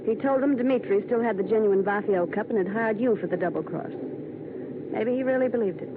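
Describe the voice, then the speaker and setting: An actress playing a cool, terse, guarded woman in a noir tale, understated and deadpan in 1940s radio style. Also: on the high side, brisk, narrow, tinny audio, natural voice. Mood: controlled urgency. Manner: clipped, understated, coolly deadpan. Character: cool, terse female noir schemer, guardedly self-possessed. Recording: thin, noisy vintage radio recording.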